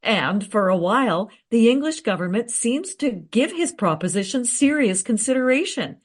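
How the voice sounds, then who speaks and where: An actress playing a confident, eager researcher in a radio play, recounting history in a teasing, matter-of-fact way. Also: female voice, on the high side, lively intonation, normal speed. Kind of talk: radio play dialogue, storytelling.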